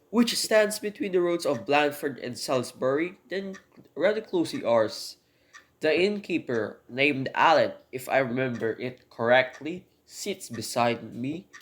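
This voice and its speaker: A man plays a poor convicted burglar in an amateur radio drama, with a middling male pitch. He is earnest and embittered, speaking in formal, emphatic phrases like someone determined to be believed. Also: close to an everyday voice. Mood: earnest recounting. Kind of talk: hobby radio drama acting, long monologue.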